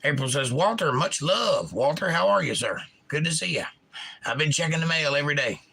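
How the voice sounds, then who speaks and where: A middle-aged man with a Georgia accent, hosting a casual live chat and reading out viewer comments, enunciating crisply in an animated, opinionated way. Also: a little high, brisk, a little hiss or hum. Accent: Georgia, US South. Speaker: livestream host.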